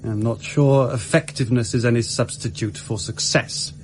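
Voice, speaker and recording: A man acting a haughty gentleman crook in a radio drama. He is coolly polite and pompous, with a mid-pitched voice and faint noise behind him. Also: steady tone, moderate pace, natural voice. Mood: cool, composed. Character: haughty, captured gentleman criminal claiming royal blood.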